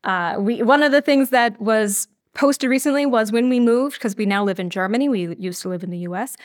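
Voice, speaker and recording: A woman's voice: a little high, brisk, no echo, clean studio sound. A woman talking casually as a podcast guest about her creative work. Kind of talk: casual interview chat.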